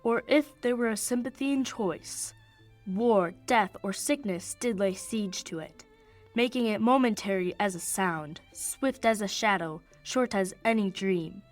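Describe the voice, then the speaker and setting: Young woman doing a lovestruck young nobleman in a radio play, lower voice, big poetic verse. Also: unhurried, soft background music, natural voice. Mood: tender, lamenting. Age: young adult. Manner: declamatory, poetic verse delivery. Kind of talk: radio play Shakespeare acting.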